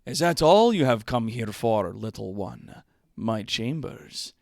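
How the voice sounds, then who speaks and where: A man's voice: medium pitch, lively intonation, gravelly, a little mellow, dry recording, studio quality. A man playing a smug, lecherous lord of the manor in a pompous, suggestive manner. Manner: suggestive, pompous, slow wooing.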